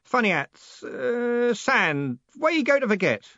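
A man voicing a loud, blunt, hearty old male merchant who bellows every line. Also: big pitch swings, measured pace, no echo, highs cut off, exaggerated voice. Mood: booming hearty. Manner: bellowing, booming.

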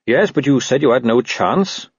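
A man's voice: mid-range voice, lively intonation, moderate pace, breathy edge, no room sound, muffled recording, pitched above the performer's own voice. A man voicing a polite, earnest policeman who coaxes with mild, innocent reasonableness in an audiobook. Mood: slyly persuasive. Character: earnest, polite, slyly persuasive male policeman.

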